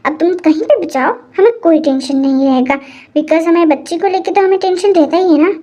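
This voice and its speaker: A woman's voice: very high-pitched, a little fast, dark tone. A woman voicing a doting father who fusses over his daughter. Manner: fussy, doting. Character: doting father, fussy and affectionate.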